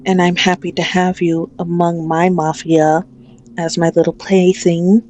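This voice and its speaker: A woman's voice: fairly low pitch, little pitch movement, takes it slowly, a little mellow, slight background noise. A woman playing a possessive, manipulative female mafia boss in an ASMR audio roleplay, menacing and taunting with a mock-tender manner.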